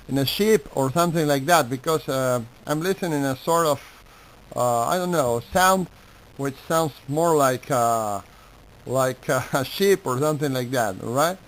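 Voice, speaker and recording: A man with an Argentine Spanish accent chatting on ham radio in polite, halting non-native English. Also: medium pitch, expressive, a bit bright, rough recording. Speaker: amateur radio operator. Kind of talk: casual ham radio chat.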